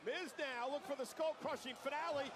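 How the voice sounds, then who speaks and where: A man calling a wrestling match play by play with excited, punchy calls. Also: extremely high pitch, brisk, a crowd in the background. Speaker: wrestling commentator. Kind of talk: play-by-play commentary. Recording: broadcast audio played under loud noise.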